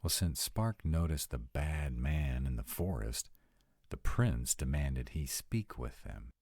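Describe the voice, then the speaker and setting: A man's voice: a bass voice, expressive, slow, darkish tone, slightly rough, dry recording, studio quality, exaggerated voice. A man playing a troubled young sarcastic vampire, confiding casually and with some swearing and hesitation in an intimate audio roleplay. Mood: uneasy, confiding.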